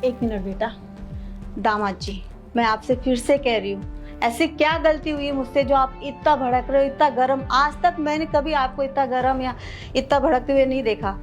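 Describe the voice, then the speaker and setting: A woman's voice: high voice, normal speed, lightly acted. A woman playing a wronged mother-in-law in a melodramatic family drama, respectfully and persistently pleading for an explanation. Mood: imploring. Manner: imploring, respectful, persistent questioning. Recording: dramatic music underneath.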